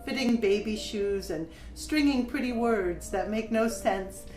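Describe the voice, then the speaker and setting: An actress plays a grown woman poet reflectively recalling her childhood, reciting verse with measured, deliberate phrasing in an audio drama. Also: on the high side, darkish tone, natural voice. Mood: reflective, tender. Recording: roomy, echoing, music underneath. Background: musical instrument playing.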